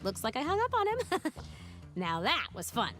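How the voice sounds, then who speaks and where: A plucky young heroine from a cartoon game. She is wry and breezy, high in pitch and very lively, over a music bed. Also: normal speed, full character voice. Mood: playful. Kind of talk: animated game cutscene acting.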